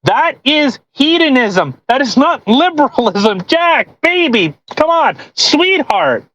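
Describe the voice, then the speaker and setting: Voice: sits high, very animated, takes it slowly, a bit bright, a little hiss or hum. A podcast host reading aloud and commenting casually, blunt and argumentative, with plenty of swearing.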